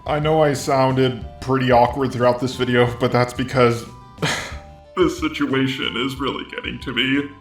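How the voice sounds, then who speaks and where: A man's voice: mid-range voice, fairly even intonation, a little slow. A man delivering a scripted personal statement as a video creator. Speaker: video creator responding to accusations. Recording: roomy echo with background music. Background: music.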